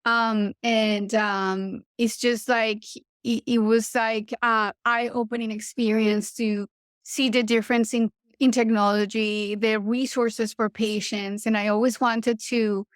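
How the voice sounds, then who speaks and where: A woman's voice: fairly high pitch, level tone, slow, clean tone, dry recording, studio quality. A middle-aged woman from Colombia with a Colombian Spanish accent, talking as a guest in an interview, earnest and passionate, with frequent restarts. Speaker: trauma surgeon guest. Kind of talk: casual interview talk.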